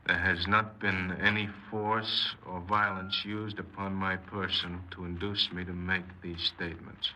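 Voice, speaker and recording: A man's voice: sits low, flat delivery, measured pace, hardly any pauses, sharp tone, full character voice. An actor playing a sullen, aimless young man confessing his crimes in an offhand, hesitant way, in 1950s radio drama style. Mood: flat, solemn. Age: young adult. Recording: noisy old 1950s radio recording.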